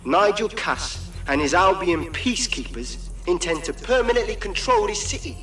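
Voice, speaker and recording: A defiant rebel addressing a city in a game cutscene. His high, animated voice rings from a distance with echo, urgent and declamatory. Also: moderate pace. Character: defiant male resistance broadcaster rallying a city. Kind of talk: dramatic video game cutscene speech. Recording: echoey, distant voice over loud music.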